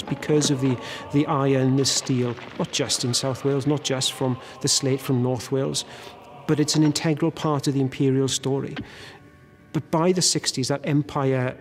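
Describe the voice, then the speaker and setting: Male interviewee, mid-range voice, analytical, builds points with repetitions over soft music. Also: mid-range voice. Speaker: interviewee, historian. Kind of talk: documentary interview.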